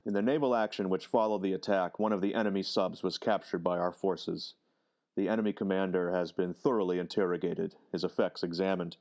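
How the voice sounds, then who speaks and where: An actor playing a distinguished, formal senior government official in a deliberate old-time radio drama style. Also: male voice, low-pitched, steady tone, a little mellow, muffled recording. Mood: businesslike.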